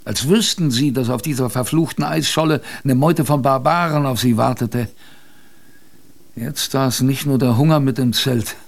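A man's voice: medium pitch, normal speed, creaky. A man playing a plain-spoken, wry sailor who tells his expedition tale chattily, straight to the listener, in a radio drama. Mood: wry, colloquial. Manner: chatty, addresses the listener directly. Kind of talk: radio drama first-person narration, colloquial. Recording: faint background ambience.

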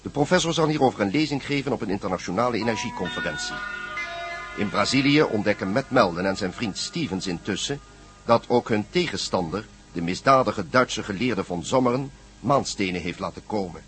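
A man's voice: lowish voice, lively intonation, a little fast, fairly bright tone. Male radio drama narrator over music. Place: studio, music behind.

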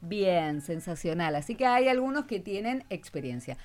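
A woman's voice: fairly low pitch, sing-song, moderate pace, darkish tone. A woman with a Buenos Aires Argentine accent hosting an informal radio show, chatty and encouraging as she prompts her guests. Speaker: radio host.

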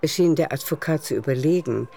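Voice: medium pitch, a little fast, vocal fry, mellow, slight background noise. A narrator reading scripted literary prose in a radio drama. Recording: music underneath. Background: music.

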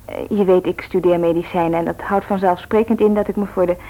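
An actress playing a caring, frank young medical student in a radio drama, earnest and slightly hesitant. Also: female voice, on the low side, steady tone, fast, dark tone, natural voice. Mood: curious, frank. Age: young adult. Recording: thin, phone-like old radio recording.

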